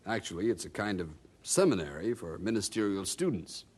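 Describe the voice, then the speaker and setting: An actor playing a genial, skeptical colonial printer in an audio drama, speaking in a wry, amused, conversational way. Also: male voice, on the low side, big pitch swings, a little fast, a little mellow, breathy edge. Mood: wry, affable.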